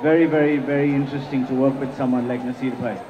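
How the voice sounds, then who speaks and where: A man's voice: medium pitch, little pitch movement, quick pace. A man with an Indian accent, a native Hindi speaker, giving emphatic promotional stage talk with repeated words and some Hindi mixed in. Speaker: film director. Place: large hall at a promotional event. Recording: muffled PA in echoey hall.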